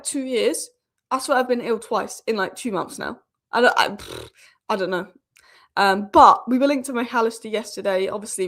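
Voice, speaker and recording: A young woman hosts a live football stream while fighting a cold, so she sounds sniffly and rough in the throat. She talks in a chatty, opinionated way, with lots of fillers and restarts. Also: mid-range voice, fairly bright tone. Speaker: football channel host. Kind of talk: casual live-stream commentary.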